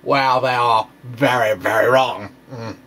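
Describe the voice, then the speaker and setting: Man mimicking a pompous, slow-talking fringe physicist. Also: mid-range voice, steady tone, a bit bright, slight background noise, voice pushed down, lightly acted. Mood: pompous bluster. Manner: pompous, bluffing. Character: blustering, boastful fringe physicist, falsely proud man.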